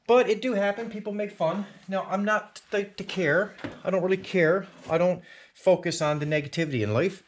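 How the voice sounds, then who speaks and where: A man with a Newfoundland accent hosting a casual do-it-yourself car repair video, talking through his work with lots of fillers and restarts. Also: mid-range voice. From Newfoundland, Canada. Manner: chatty, rambling, with frequent fillers and restarts. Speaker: channel host. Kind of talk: casual DIY garage tutorial. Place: home garage.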